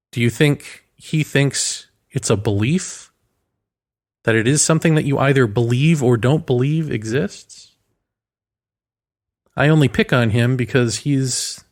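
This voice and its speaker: A man delivering an opinionated philosophical monologue in a sardonic way, leaning on rhetorical questions and emphatic repetition. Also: medium pitch. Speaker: commentator delivering a solo monologue.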